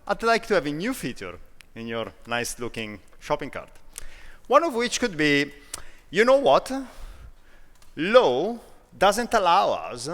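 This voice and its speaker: A man from Italy with an Italian accent, giving a conference talk in a self-deprecating, lively way with frequent restarts. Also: mid-range voice, in a large hall. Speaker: conference speaker. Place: large conference hall.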